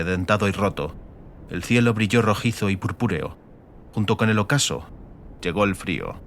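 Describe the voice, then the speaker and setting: A man with a fairly low voice narrates a fantasy audiobook. His delivery is scripted and dramatic, with a slight rumble of noise underneath. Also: a bit bright.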